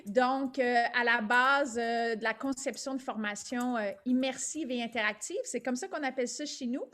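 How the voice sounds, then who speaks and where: A middle-aged woman with a Québécois French accent, presenting her company as a guest on a webinar video call, lively and quick to laugh. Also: a little high. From Quebec, Canada. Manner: lively and talkative, laughing often, with frequent fillers. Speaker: guest speaker, entrepreneur.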